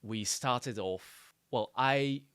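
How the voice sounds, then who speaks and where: A man co-hosting a casual podcast, talking haltingly with frequent restarts and agreeing murmurs. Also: mid-range voice, fairly bright tone, smooth, no room sound. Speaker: podcast co-host. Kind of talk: casual chat.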